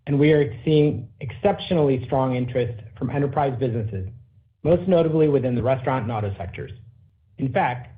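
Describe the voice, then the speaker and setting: A man speaking as a company executive on an earnings call, in businesslike corporate phrasing. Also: medium pitch. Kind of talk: earnings call presentation and answers. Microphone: conference call line. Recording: narrow phone-like conference line.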